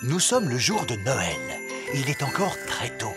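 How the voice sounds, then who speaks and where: A man's voice: mid-range voice, wildly swooping pitch, measured pace, over loud music. A man reading scripted narration for a preschool cartoon.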